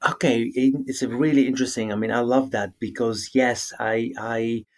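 A middle-aged man from Lebanon with a Lebanese accent and Arabic as his first language, answering interview questions earnestly and haltingly as he searches for words. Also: mid-range voice, fairly even intonation, darkish tone. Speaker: yoga teacher, guest.

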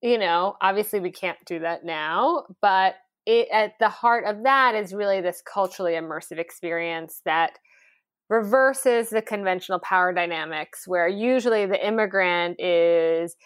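A woman speaking in an animated, conversational way, full of "like" and "um," as she explains how she built her cooking classes. The sound is dry and close, with no room echo at all. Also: mid-range voice, normal speed, clear, resonant tone. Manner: animated, lively storytelling with many fillers. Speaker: founder of a cooking school, guest. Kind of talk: conversational interview.

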